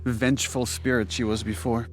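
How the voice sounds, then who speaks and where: A man's voice: mid-range voice, flat delivery, moderate pace, soft background music. A man giving casual behind-the-scenes commentary as a game developer.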